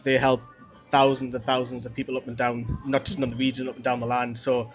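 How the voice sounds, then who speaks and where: A man's voice: mid-range voice, flat delivery, brisk, soft background music. A man hosting a casual football podcast, chatty and rambling with frequent restarts. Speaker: podcast host. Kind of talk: casual chat.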